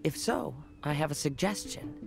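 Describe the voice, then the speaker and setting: A woman playing a calm, polite and cautious young traveler in a video game, reserved and earnest. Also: low voice, normal speed, gravelly, music in the background. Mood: thoughtful. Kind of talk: video game character acting.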